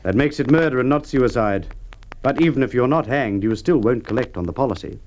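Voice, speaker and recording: An actor playing a shrewd, polite police inspector in a Golden Age radio drama, formal, deliberate and insinuating. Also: male voice, mid-range voice, darkish tone, lightly acted. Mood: insinuating, pointed. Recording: muffled, noisy old radio recording with clicks.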